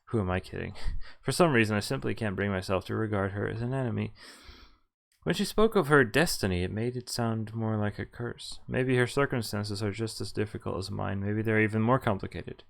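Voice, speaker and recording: A man's voice: fairly low pitch, fairly quick, vocal fry. A man reading a visual novel aloud as a streamer, casually, stumbling now and then and dropping in asides. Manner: stumbles over read lines, interjects asides. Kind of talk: casual playthrough read-aloud commentary. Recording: music behind the voice. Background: game music.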